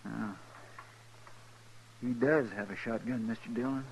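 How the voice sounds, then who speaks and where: Earnest, folksy deputy. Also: male voice, mid-range voice, steady tone, unhurried, creaky, a little hiss or hum, poor audio. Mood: worried, pleading. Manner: hesitant, deferential, folksy. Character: earnest, anxious, loyal deputy to a frontier marshal. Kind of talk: 1950s radio western acting.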